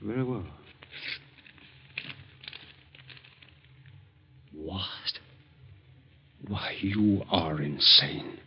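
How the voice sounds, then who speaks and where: A low-voiced, highly animated, flustered scientist in a vintage radio drama. He is mild and principled, prone to stammering indignation. The old recording sounds muffled. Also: slow, breathy edge, exaggerated voice. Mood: protesting, incredulous. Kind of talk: 1940s radio acting.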